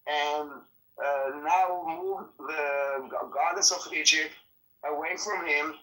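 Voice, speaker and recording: A man's voice: on the high side, sharp tone, roomy sound, far from the mic, rough recording. A man whose first language is Hebrew, arguing hard in an online debate, pressing his point and repeating himself when he is cut off.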